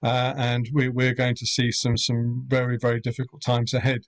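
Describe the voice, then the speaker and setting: A middle-aged man from Europe talks at a measured, unhurried pace. He pauses often and fills the gaps with ums and uhs. His voice sits at an ordinary middle pitch. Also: monotone. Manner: measured, hesitant, many fillers. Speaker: rural accommodation owner. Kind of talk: webinar panel talk.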